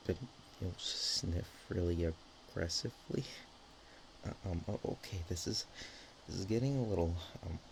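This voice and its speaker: A man's voice: deep voice, moderate pace, vocal fry, long pauses. A man voicing a bashful, affectionate dragon girl in an intimate ASMR roleplay, stammering and hesitant. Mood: flustered, embarrassed. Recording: faint background noise.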